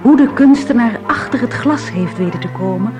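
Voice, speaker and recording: A woman's voice: on the high side, lively intonation, moderate pace, lightly acted. Woman as a formal news reader over music. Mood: formal, intrigued. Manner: formal reading. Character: female newspaper report reader, formal. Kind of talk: scripted news report in radio drama.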